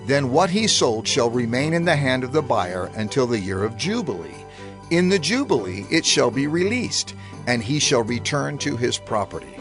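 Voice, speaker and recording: Faint music sits behind this male narrator of scripture. His voice is medium in pitch and animated in contour, yet the delivery stays measured and solemn, in the manner of a dramatized audio Bible. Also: gravelly.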